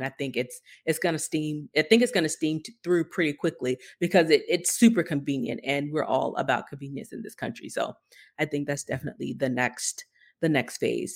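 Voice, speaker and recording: Woman explaining things in earnest conversation. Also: deep voice. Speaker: podcast co-host. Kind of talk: conversational tech news commentary.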